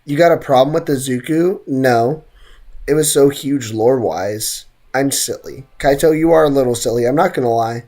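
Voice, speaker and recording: A woman's voice: very deep voice, moderate pace, mellow. A woman streaming a game, chatting casually and trading slangy, joking banter with viewers. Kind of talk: casual livestream chat and game commentary.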